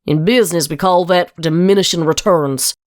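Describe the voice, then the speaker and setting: A woman voicing an earnest, burdened farm mare in a dramatic story reading, with an American Southern accent and a folksy, measured delivery. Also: fairly low pitch, no echo, clean studio sound, natural voice. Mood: matter-of-fact, concerned. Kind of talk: dramatic fan fiction reading.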